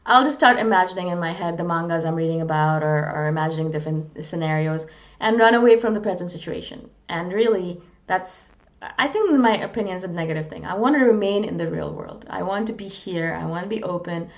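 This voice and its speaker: A woman's voice: fairly low pitch, fairly quick, muffled recording. A woman from India, talking in a candid, earnest, self-deprecating way in a casual, reflective monologue as a vlogger. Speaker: vlogger on personality types.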